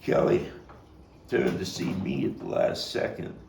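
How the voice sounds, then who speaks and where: A man performing a dramatic stage monologue as a vengeful young gang member, profane and intense, halting and repeating his words. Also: very deep voice, huge pitch swings, gravelly, darkish tone, heavily acted. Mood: urgent, agitated. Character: volatile, vengeful male street gang youth recounting a bloody fight. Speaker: actor and playwright performing his own monologue. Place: echoey room, table read. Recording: echoey, noisy room, distant mic.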